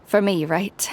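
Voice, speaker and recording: A woman playing a tough, proud, teasing warrior who is weakened by injury, in an animated video game dub. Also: medium pitch, a little hiss or hum.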